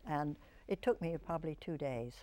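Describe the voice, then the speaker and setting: An older woman, an actress, reminiscing in an interview, telling stories in a reflective, halting way. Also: sits low, mellow.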